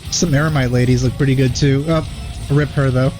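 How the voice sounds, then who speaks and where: A man giving casual reaction commentary as a video host, in a hesitant, low-key manner. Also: fairly even intonation, fairly quick. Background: game trailer music.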